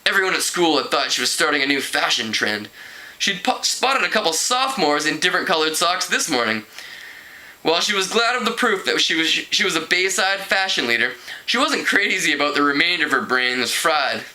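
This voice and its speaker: A man's voice: on the high side, sing-song, brisk, sharp tone, low-quality recording. A man casually reading a teen novel aloud as an amateur, stumbling over words and repeating phrases. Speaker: amateur book reader.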